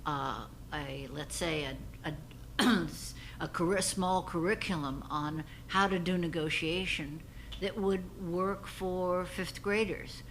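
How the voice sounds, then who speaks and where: A woman with a somewhat low voice speaks in a thoughtful, hesitant way, with frequent pauses and the odd throat clearing. Faint background noise is heard behind her. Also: poor audio.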